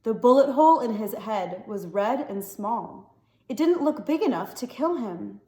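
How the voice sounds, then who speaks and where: A woman reading a novel aloud as an expressive story narrator. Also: fairly high pitch, a little slow. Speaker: story reader. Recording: echoey, reverberant room.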